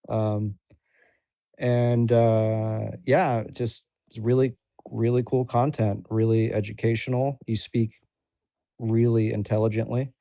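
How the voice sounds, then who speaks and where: Male guest, relaxed mellow tone, informal halting chat, close dry sound with muffled lo-fi quality. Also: medium pitch, no room sound. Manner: informal, halting, slangy with swearing. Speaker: guest, supporter and content creator. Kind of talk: casual chat.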